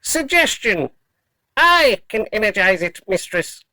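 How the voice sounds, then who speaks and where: A woman's voice: medium pitch, very animated, slow pace, bright, forceful tone, no room sound, studio quality, heavily acted. A woman playing a polite, loyal robot dog in an audio drama, speaking in clipped, formal, literal phrases. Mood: dutiful, matter-of-fact.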